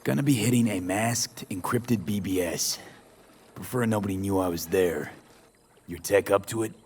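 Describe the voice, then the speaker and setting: A man's voice: on the low side, normal speed, darkish tone, a little hiss or hum, lightly acted. A man playing a streetwise mercenary in a dystopian video game, talking in a clipped, casual, dry way. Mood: businesslike. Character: terse, streetwise male mercenary in dystopian future.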